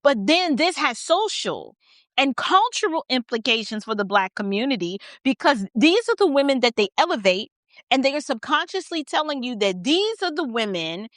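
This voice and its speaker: Female American political host, high voice, dry close sound, emphatic and blunt off-the-cuff delivery. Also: very animated, moderate pace, fairly bright tone, no room sound. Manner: blunt, emphatic, repeating phrases for stress. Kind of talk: opinionated off-the-cuff commentary.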